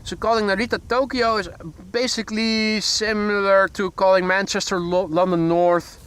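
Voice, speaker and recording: A man's voice: high-pitched, level tone, normal speed, a bit bright, clear, resonant tone. A man with a Dutch accent hosting a live plane spotting stream outdoors, chatty and correcting himself as he goes, with dry little jokes. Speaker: plane spotting livestream host. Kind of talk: live commentary. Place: outdoors beside a field near a runway. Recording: faint background noise outdoors. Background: outdoor ambience, vehicles.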